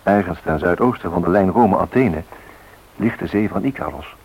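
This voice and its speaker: An actor playing a solemn, poetic storyteller narrating a radio drama with dramatic emphasis and a husky voice. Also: male voice, low-pitched, moderate pace. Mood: solemn, wistful. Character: solemn poetic male storyteller of flight myths. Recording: muffled old radio recording.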